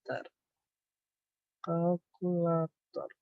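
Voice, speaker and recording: Guy tutor, kinda high voice, muffled online class audio, halting as he thinks thru numbers out loud. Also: clear, resonant tone. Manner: halting, mumbles figures while working, checks with listeners. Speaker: accounting tutor. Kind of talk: informal online lesson, thinking aloud through calculations. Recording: echoey room, dull lo-fi online-call audio.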